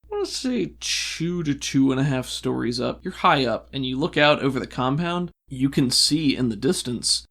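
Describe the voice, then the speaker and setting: A game master with a mid-pitched voice narrates a tabletop adventure. The delivery is improvised and conversational, halting now and then for an aside before picking the scene back up. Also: animated, gravelly, fairly bright tone.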